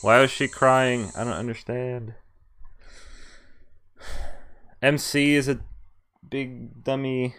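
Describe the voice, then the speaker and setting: A man's voice: medium pitch, fairly even intonation, slow, long pauses, fairly bright tone, clear, resonant tone. A man streaming a visual novel, reading the text aloud and dropping in casual, mildly sweary asides. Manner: reacts with laughs and mild swearing between read lines. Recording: music behind the voice.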